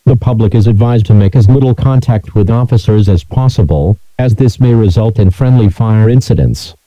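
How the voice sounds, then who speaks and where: A man reading a scripted emergency broadcast announcement in a formal, mechanical monotone. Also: fairly low pitch, normal speed, no echo, rough recording.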